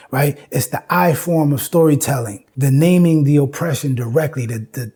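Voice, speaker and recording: A husky male voice at a middle pitch, lecturing informally as a host. He explains step by step, repeating phrases for emphasis and dropping in conversational check-ins. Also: warm tone. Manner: emphatic, repeats phrases, frequent "you know" and "right?".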